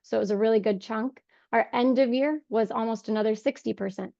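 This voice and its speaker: A young woman with a voice hoarse from a cold, giving an earnest webinar talk on fundraising with many ums. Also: on the high side, a little fast, dark tone, no echo. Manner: frequent ums, earnest and conversational. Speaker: fundraising director. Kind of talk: webinar presentation. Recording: dull lo-fi dry sound.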